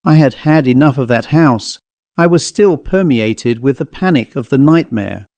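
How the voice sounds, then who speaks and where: A man's voice: mid-range voice, animated, normal speed, darkish tone, no echo, lo-fi sound. Man narrating a ghost story, dry close recording. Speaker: audiobook narrator.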